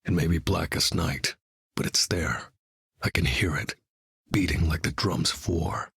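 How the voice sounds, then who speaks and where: A very husky, brooding dark vigilante. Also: male voice, deep voice, a little slow, no room sound, exaggerated voice. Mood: grim. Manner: brooding, dry, deadpan. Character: grim, battle-hardened male vigilante leader with keen hearing. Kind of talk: video game character voice lines.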